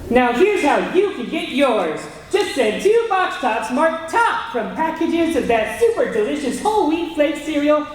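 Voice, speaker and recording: A woman acting a peppy 1940s radio advertisement announcer. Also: high-pitched. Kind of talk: old-time radio advertising. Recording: very echoey, faint noise.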